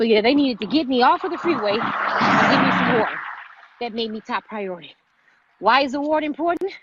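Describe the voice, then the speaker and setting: A woman hosting a motivational live vlog, speaking emphatically and repeating phrases for stress. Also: on the high side. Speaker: vlog host, fitness motivator. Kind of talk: motivational livestream chat. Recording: muffled, noisy live-stream audio.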